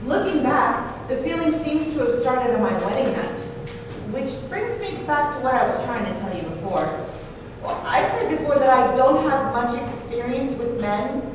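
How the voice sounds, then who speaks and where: A woman's voice: high-pitched, animated, moderate pace. A woman playing a naive, anxious, wealthy young wife who confides hesitantly in an old-time radio drama. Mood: coy, embarrassed. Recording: noisy, echoey hall from far off.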